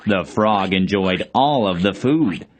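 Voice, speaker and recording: A man's voice: low voice, expressive, slow pace. A man narrating a children's fairy tale as a scripted storybook reading.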